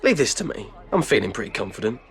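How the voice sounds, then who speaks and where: A man's voice: medium pitch, very animated, fast, a bit bright. An actor playing a cocky, blunt, good-natured teenage boy in a video game.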